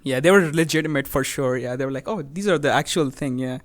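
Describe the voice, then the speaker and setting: A man's voice: mid-range voice, fast talker. A young man co-hosting a podcast in casual banter, slangy, profane and jokey. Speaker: podcast co-host.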